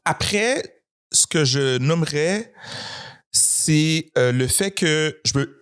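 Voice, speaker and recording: A man talks as a guest on a podcast. His voice sits a little high, and he chooses his words carefully, with small hesitations and restarts. He sounds thoughtful and measured. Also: animated, fairly bright tone, clean tone. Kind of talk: casual conversation.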